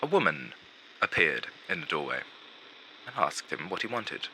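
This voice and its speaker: A man playing a vain, gloomy undead showman who narrates an animated film in a pompous, drawn-out theatrical manner. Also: low voice, a little hiss or hum, heavily acted, rough recording. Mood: hushed, grave. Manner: dramatic, pompous, drawn-out delivery with hushed asides.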